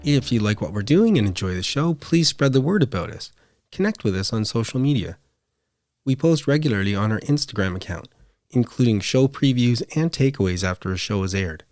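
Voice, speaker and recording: A man's voice: mid-range voice, expressive, brisk, a little mellow, no echo, clean studio sound. A man hosting a podcast, delivering a scripted show outro. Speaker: podcast host.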